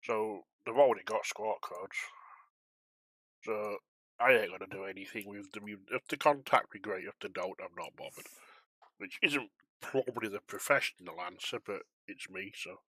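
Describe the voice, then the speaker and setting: A man with a lowish voice and a bright, forward edge talks casually to his viewers. He rambles in a chatty, self-deprecating way while working as a flight-sim air traffic controller. The sound is close and dry, with very little room. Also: moderate pace, dry recording. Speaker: streamer, volunteer air traffic controller on a flight simulation network.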